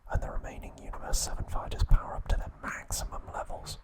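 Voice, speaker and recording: A man hosting an ASMR video, recapping a story in a soft unvoiced whisper with small slips and self-corrections. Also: fast. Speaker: ASMR host.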